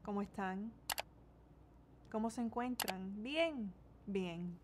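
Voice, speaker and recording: A woman's voice: medium pitch, slow, darkish tone, a little hiss or hum. A young woman in her twenties from Miami, with a Cuban-American accent, chatting casually through a makeup tutorial and slipping English words into her Spanish. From United States, Miami. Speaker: beauty vlogger. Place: small bedroom indoors.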